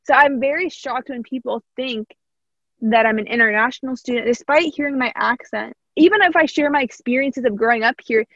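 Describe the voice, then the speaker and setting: A woman's voice: sits high, brisk, a bit bright, no room sound, lo-fi sound. A young woman from Indiana with a slight Southern American accent, hosting a casual interview in a thoughtful, rambling way. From Indiana, USA.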